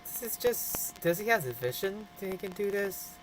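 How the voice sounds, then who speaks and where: A woman's voice: medium pitch, animated, moderate pace, background noise. A woman streaming a game with casual commentary, playfully echoing bits of the dialogue. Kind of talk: casual live gameplay commentary. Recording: game music behind.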